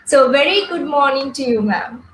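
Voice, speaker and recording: A fairly high-voiced Sri woman gives a polite, formal webinar introduction over a video call. Her voice rings in an echoey room, and faint noise hums behind her. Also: animated, normal speed. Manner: polite, formal, reads guest bios. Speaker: webinar moderator.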